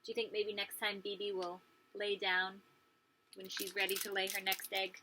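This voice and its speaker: A woman preschool teacher reading a story aloud to young children, expressive and pausing to ask them questions. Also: medium pitch, slight background noise, low-quality recording. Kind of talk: animated children's read-aloud with questions to listeners.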